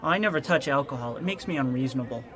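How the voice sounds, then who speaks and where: An actor playing a nervous, aggrieved but harmless pulp magazine writer in a radio drama, with an anxious, whiny, rambling manner. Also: male voice, mid-range voice, expressive, fast talker, music in the background, lightly acted. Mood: nervy, defensive.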